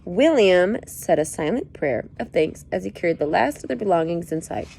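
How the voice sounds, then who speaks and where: A woman's voice: on the low side, animated, moderate pace, creaky, darkish tone, slight background noise. A woman reading a book aloud, breaking off for playful, joking asides. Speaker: reader.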